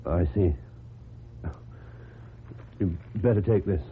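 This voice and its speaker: An actor with a British accent playing a lonely, awkward, earnest man in a radio drama, speaking formally and haltingly. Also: low-pitched. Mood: awkward concern. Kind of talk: old-time British radio drama acting. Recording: muffled, noisy old radio recording.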